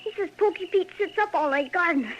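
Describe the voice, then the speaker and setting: A performer playing a frightened young farm boy in an old radio western, halting, earnest and pleading in speech. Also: very high-pitched, animated, a bit bright. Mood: scared, earnest. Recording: dull, faintly noisy vintage radio recording.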